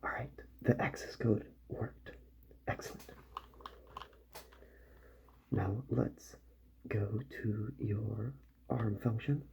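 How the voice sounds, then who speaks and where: A man doing a soft-spoken ASMR roleplay as a repair doctor, with gentle, slow, partly whispered delivery. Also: lowish voice, fairly even intonation, creaky. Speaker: ASMR performer playing a robot repair doctor.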